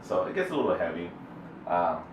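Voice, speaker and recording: A man from El Salvador telling a personal story off the cuff, in a halting, relaxed way with many fillers and restarts. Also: sits low, noisy background. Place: Houston interview space. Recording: roomy distant mic with faint ambience.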